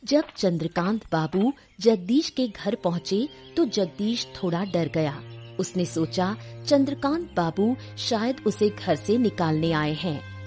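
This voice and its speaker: A woman's voice: mid-range voice, expressive, takes it slowly, mellow, faint music behind. A woman delivering scripted narration for a story as its narrator.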